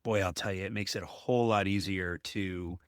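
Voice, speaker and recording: A man's voice: deep voice, fairly even intonation, normal speed, clean tone, no echo, studio quality. A man hosting a conversational business interview, talking in an emphatic, anecdotal way and often checking in with "right?". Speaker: podcast host, apartment investor.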